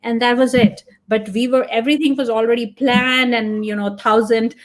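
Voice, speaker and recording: A woman whose first language is Punjabi, answering as a podcast guest. Her voice sits a little on the high side. She talks earnestly and reflectively, thinking aloud as she goes. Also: fairly even intonation, moderate pace, poor audio. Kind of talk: casual interview talk.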